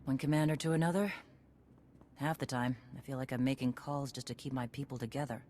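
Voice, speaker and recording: Tough warrior commander; blunt, dry, rallies troops. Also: female voice, very deep voice, fairly even intonation, fairly quick, dark tone, a little hiss or hum.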